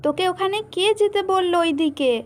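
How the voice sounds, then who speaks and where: A woman's voice: very high voice, a little fast. A woman voicing a worried, scolding mother in a cartoon fairy tale. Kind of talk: animated cartoon dialogue.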